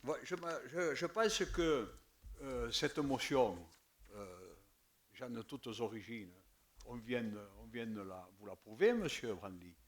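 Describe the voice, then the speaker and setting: A man speaking up in a council meeting, his voice at a middling pitch. He stammers, repeats words and lets phrases trail off quietly before pushing on. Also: animated, unhurried. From southern France. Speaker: municipal councillor.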